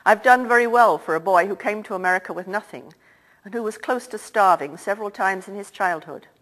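A woman's voice: mid-range voice, brisk, fairly bright tone, close to an everyday voice, low-quality recording. A woman reading the part of an older, wealthy Irish-born theatre man who talks in a confiding, lilting way. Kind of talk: audiobook character reading.

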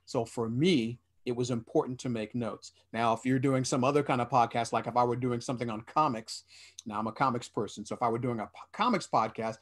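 A man's voice: medium pitch, fairly even intonation, fairly quick. A man in his forties on a headset at home, speaking earnestly on a video-call panel and often restarting his sentences. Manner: earnest, explanatory, with frequent restarts. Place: small room indoors.

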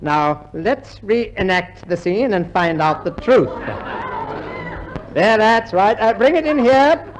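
A pompous but easily flattered judge in an old radio comedy. His voice sits high and he chuckles between magisterial pronouncements. Faint noise runs through the vintage recording. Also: slow pace. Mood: genial, lecturing. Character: genial, flatterable courtroom judge, firm but easily tickled. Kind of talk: 1950s radio comedy acting. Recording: noisy 1950s radio recording.

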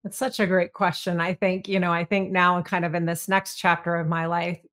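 Reflective woman, slightly low voice. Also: little pitch movement, normal speed, a bit bright. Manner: earnest, reflective. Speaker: guest, retired fighter pilot. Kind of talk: interview answers. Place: home room with bookshelves. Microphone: video call.

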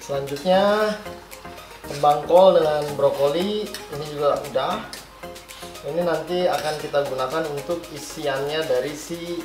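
A man's voice: on the high side, unhurried. A man in his thirties, a chef, cooking at home and explaining each step, asking questions and answering them himself. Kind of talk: casual cooking demonstration. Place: bright home kitchen, indoors. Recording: roomy kitchen with music behind. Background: background music.

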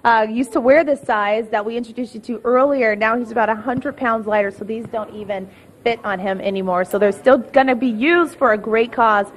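A high-voiced woman delivers a bright, upbeat live morning TV report. She speaks into a handheld mic inside a busy indoor gym, with faint gym noise behind her. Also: brisk. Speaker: field reporter.

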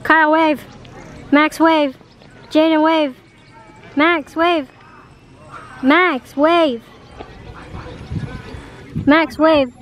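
Woman, high and unhurried, calling to friends outdoors; phone mic. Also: fairly even intonation, a bit bright, slight background noise. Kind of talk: casual chat with friends. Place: outdoors by a lake. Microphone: phone camera.

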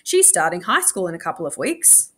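A mild, gentle father from a storybook, read by a woman. He speaks hopefully, with some lift and fall in the voice. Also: lowish voice, big pitch swings, fairly quick, breathy edge, full character voice.

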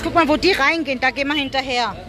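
A woman's voice: high voice, racing. A middle-aged woman from Germany vlogging with a phone held at arm's length, chatting casually and dropping in Arabic words as she walks around. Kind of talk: casual vlog chat. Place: busy city street and restaurant, outdoors and in. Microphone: handheld phone at arm's length. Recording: loud street crowd and noise.

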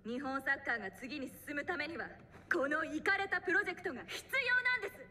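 A woman's voice: sits high, lively intonation, quick pace, sharp tone, heavily acted. An actress playing an earnest, determined young businesswoman giving a public speech in an anime. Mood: impassioned. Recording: noisy ambience behind.

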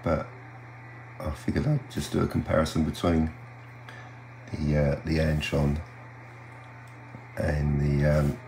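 An older man talking casually and haltingly in a low-key, unhurried way, like a hobbyist vlogging at home. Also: flat delivery, vocal fry, darkish tone. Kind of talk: casual hobby vlog commentary. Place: small home radio room, indoors. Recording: roomy sound with faint hum.

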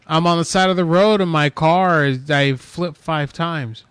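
High-voiced man on a prank call. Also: fairly even intonation, a little slow, a bit bright, smooth, dry recording. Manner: feigned panic, accusing and insistent. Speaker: radio prank caller.

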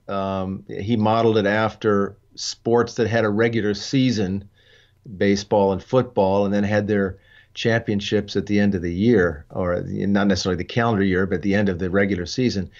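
Older male co-host, low voice, measured delivery, dull lo-fi video call sound. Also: fairly even intonation. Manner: measured, thoughtful, frequent fillers and restarts. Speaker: co-host and racing journalist. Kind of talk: casual discussion show. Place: studio with dark backdrop.

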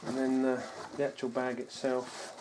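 A British man giving a casual, unscripted rundown of his kit in a low-key, halting way, as if thinking aloud. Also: completely flat delivery. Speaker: bushcraft hobbyist presenting his kit. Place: indoors on a wooden floor at home. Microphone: camera mic, a short distance away. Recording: noisy home video, clattering gear. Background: clicks and clatter of handled gear.

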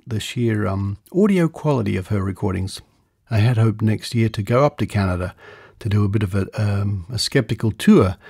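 A man's voice: lowish voice, gravelly, a little mellow, breathy edge. A man hosting a podcast in a semi-scripted monologue, recorded on a close studio microphone in a treated room. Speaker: podcast host. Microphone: close studio condenser microphone.

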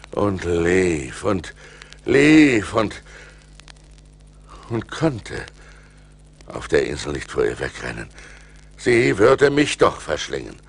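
An actor playing a shipwrecked sailor who recounts his perils in a husky, theatrical, suspenseful way, in an audio drama from a vinyl record. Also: low-pitched, exaggerated voice. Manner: dramatic, suspenseful delivery with deliberate pauses. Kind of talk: theatrical radio-play storytelling narration.

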